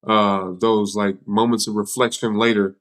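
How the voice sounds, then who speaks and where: A man with an American accent hosts an interview in a casual, chatty way. His voice sits a little low, and he asks loose, rambling questions with informal interjections. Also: flat delivery, moderate pace, a bit bright. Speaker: podcast host.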